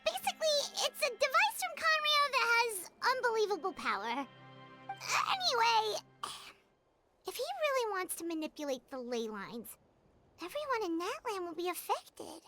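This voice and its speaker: A woman voicing a small, excitable, childlike fairy companion in a video game, squeaky, chatty and dramatic. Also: normal speed, faint music behind, heavily acted. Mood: curious, puzzled. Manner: exclamatory, eager. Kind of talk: animated game voice acting.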